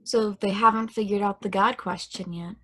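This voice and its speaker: A woman's voice: mid-range voice, fairly even intonation, normal speed, close to an everyday voice. A woman playing a naive, newly awakened space probe, earnest and hesitant, in a conversational table read. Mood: curious, tentative.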